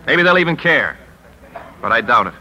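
A bitter, world-weary newspaper editor in a fifties radio play. His voice is very bright and hard-edged, with a punchy, sardonic bite and a middling pitch. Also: big pitch swings, moderate pace, rough recording. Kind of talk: 1950s radio drama acting.

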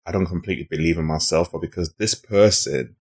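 A man's voice: deep voice, fast, a little mellow. A man hosting a conversational podcast interview, speaking in an earnest, encouraging way. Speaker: podcast host.

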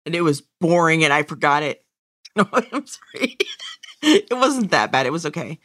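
A woman's voice: low voice, very animated, normal speed, a bit bright, no room sound, studio quality. A woman co-hosting a podcast, giving blunt, joking opinions in casual chat. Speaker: podcast co-host.

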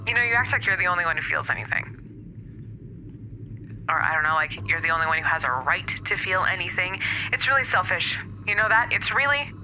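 A woman playing a hurt, pleading estranged wife, halting and emotional, leaving a voicemail in a naturalistic audio drama. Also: lowish voice, expressive, bright, forceful tone. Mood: wounded, accusing. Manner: halting, emotional, plain-spoken. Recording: noisy recorded voicemail message.

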